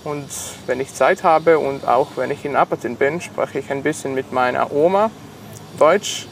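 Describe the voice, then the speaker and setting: A man of twenty-five, a native Serbian speaker with a Serbian accent, answers interview questions haltingly, searching for words in a second language. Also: medium pitch, creaky, slight background noise. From Sombor, Serbia. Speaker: interview guest, engineering student.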